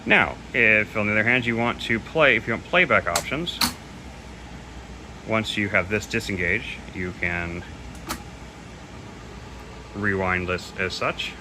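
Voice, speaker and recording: A man's voice: fairly low pitch, unhurried, pauses often. A man giving a casual, unscripted equipment demo in a loose, somewhat hesitant way, readily admitting what he doesn't know. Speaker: presenter demonstrating audio equipment. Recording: clearly noisy home recording.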